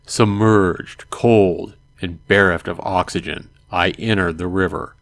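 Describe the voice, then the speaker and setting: A man hosting a let's play, reading a visual novel aloud in a dramatic way and adding hushed asides. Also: deep voice, fairly even intonation, takes it slowly, dry recording. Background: game music.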